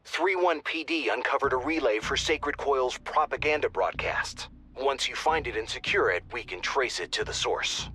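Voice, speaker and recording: A man's voice: mid-range voice, lively intonation, normal speed, pushed and bright, husky. An actor playing a wry male mission handler who talks the squad through operations in a conversational tone.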